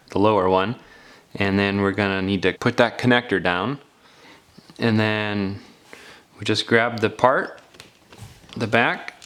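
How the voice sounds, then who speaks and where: A man's voice: lowish voice, a bit bright. A man narrating a hands-on DIY repair tutorial in a casual, folksy, step-by-step way. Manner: folksy, informal, step-by-step phrasing. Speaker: DIY phone repair instructor.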